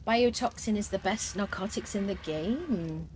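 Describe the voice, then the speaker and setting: A woman's voice: mid-range voice, measured pace, a little mellow, slight background noise. A woman streaming a survival game, chatting as she plays, thinking aloud with fillers and answering her viewers' comments. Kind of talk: live gameplay commentary.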